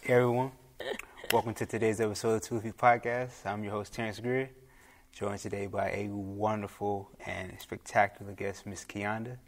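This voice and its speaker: A man hosting a podcast, asking questions in a relaxed, casual way and often repeating short agreements. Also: on the low side, moderate pace. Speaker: podcast host. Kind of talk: casual interview chat.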